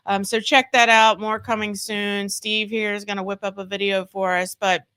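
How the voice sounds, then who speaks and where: Woman hosting a chill chat, bright voice, lots of ums and likes. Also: medium pitch, level tone, normal speed, clean tone. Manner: loose, chatty, frequent "um" and "like". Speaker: host. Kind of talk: casual chat.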